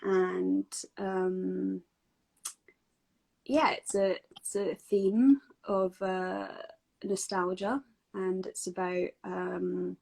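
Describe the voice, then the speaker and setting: A woman's voice: mid-range voice, fairly even intonation, extremely slow, vocal fry, a little mellow. A woman from Scotland with a Scottish accent, a guest talking casually from home, with many fillers and restarts. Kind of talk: casual video-call interview.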